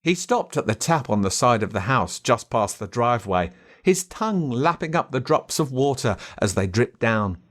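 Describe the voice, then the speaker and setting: An Australian man narrating a children's story in a dramatic, expressive way. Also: medium pitch, moderate pace. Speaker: audiobook narrator.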